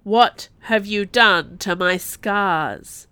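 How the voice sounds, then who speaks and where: A woman's voice: medium pitch, lively intonation, unhurried, no room sound. Woman playing a raving, furious young nobleman. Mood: howling accusing. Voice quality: voice breaking hoarsely. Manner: forceful, screaming accusations. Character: mad young nobleman, bellowing in pure rage.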